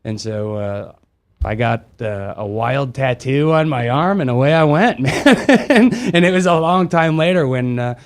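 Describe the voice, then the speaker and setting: A man's voice: medium pitch, animated, measured pace. Reflective man with a mid-range voice. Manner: reflective, personal storytelling. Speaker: regular co-host. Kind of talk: radio talk show.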